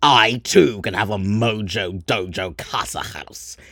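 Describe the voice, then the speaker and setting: A man's voice: on the low side, slow pace, sharp tone, slightly rough, dry recording, clean studio sound, heavily acted. A man voicing a proud, literal-minded protector in a comedy sketch, declaiming emphatically and repeating himself. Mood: proudly bombastic.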